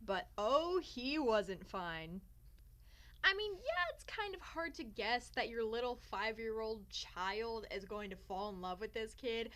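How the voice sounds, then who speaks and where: Bright, high-pitched young woman telling a story. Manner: theatrical, sarcastic, self-mocking. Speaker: vlogger telling a personal story. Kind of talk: casual storytime chat to camera.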